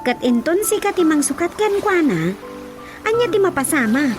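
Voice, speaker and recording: A woman's voice: very high voice, very animated, moderate pace. A woman with a Filipino accent playing a skeptical, worried villager in a radio drama. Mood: doubtful. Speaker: actor. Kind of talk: Ilocano radio drama acting. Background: music.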